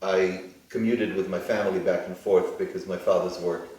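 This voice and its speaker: A middle-aged man, born in Israel with Hebrew as his first language, answering interview questions deliberately with frequent ums and uhs. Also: low voice, fairly even intonation, darkish tone. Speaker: plastic surgeon, interview guest. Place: studio. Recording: echoey studio, mic far off.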